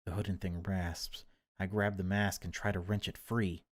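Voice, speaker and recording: A man reading horror game text aloud as dramatic narration, in deliberate, broken phrases. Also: low-pitched, level tone, no room sound, clean studio sound. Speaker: gaming video creator reading a visual novel aloud.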